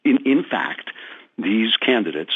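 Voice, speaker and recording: A man's voice: on the low side, big pitch swings, takes it slowly, dry recording. A man answering interview questions earnestly, with many fillers and restarts. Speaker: guest commentator. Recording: thin phone-like remote line.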